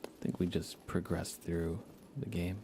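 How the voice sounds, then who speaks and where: A man giving soft-spoken, relaxed and unhurried ASMR commentary while he plays a video game live. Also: deep voice, vocal fry, noisy background. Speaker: livestreamer playing a video game.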